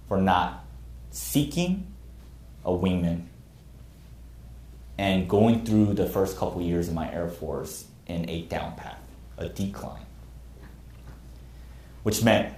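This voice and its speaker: A man's voice: low voice, darkish tone. Earnest man lecturing in an echoey room. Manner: earnest, candid about own past mistakes. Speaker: military instructor. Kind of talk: classroom lecture.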